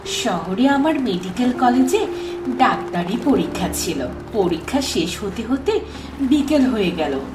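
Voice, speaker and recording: A woman playing a gentle young medical student in expressive cartoon dialogue. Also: fairly high pitch, measured pace. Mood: polite, friendly. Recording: echoey, distant, loud music behind.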